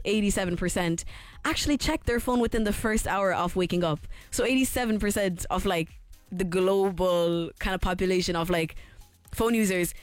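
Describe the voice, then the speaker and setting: A young Irish woman presenting on radio in a casual, chatty way, her voice hoarse from recent overuse. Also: mid-range voice, no echo. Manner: chatty, quick and animated, laughs often.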